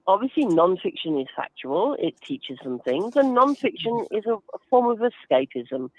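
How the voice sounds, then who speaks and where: A woman's voice: mid-range voice, big pitch swings, moderate pace, dry recording, lo-fi sound. A woman giving explanatory interview answers as a guest, instructive and emphatic, with everyday examples. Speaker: guest author and reading advocate.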